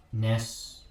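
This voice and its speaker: Young ex-boyfriend, audio drama, halting casual speech, guarded, off-mic, heavy room echo. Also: male voice, darkish tone. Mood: bitter, hurt. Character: guarded, hurt 24-year-old college athlete, missing girl's ex-boyfriend. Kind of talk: audio drama acting as a recorded interview. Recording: very echoey, distant from the microphone.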